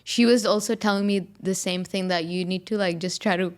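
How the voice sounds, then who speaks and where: A woman's voice: medium pitch, steady tone, brisk. A young adult woman hosting a podcast, speaking casually and often using filler words. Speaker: podcast host. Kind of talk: casual conversation.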